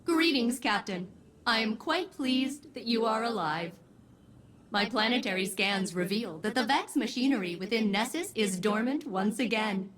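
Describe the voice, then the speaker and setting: An actress voicing a polite, upbeat ship's artificial intelligence in a video game, precise, formal and cheerful. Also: female voice, a little high, normal speed, a bit bright, close to an everyday voice. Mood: pleasant. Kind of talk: video game radio message.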